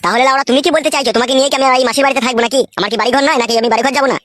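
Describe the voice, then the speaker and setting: A woman's voice: high voice, fairly even intonation, very fast, fairly bright tone, no echo. A woman playing a crude, swaggering husband in a comedy cartoon, with a coarse, bossy way of talking. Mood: brash. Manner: coarse, brash, bossy, swearing. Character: crude, swaggering young husband with two wives.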